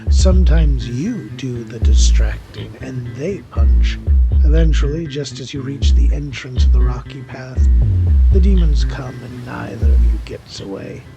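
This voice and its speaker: A man reading a short story as a dramatic, deliberate and expressive narrator, in literary style. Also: mid-range voice, vocal fry, muffled recording. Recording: prominent music under the voice. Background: music.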